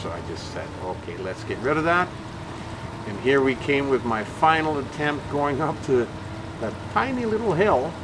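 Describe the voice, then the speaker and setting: A man's voice: on the low side, background noise. A man giving casual gameplay commentary, explaining things in a folksy way and often repeating himself. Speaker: gaming channel host.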